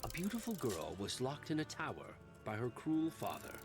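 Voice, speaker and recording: A man acting as a solemn storyteller, narrating an animated fairy tale in scripted storybook style. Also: medium pitch, normal speed, over music.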